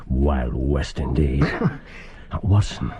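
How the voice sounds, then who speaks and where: An actor playing a keen, imperious English detective in a radio drama, clipped and commanding, fiercely protective. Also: male voice, extremely low pitch, expressive, takes it slowly, dark tone, a little hiss or hum, full character voice. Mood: relieved, brisk.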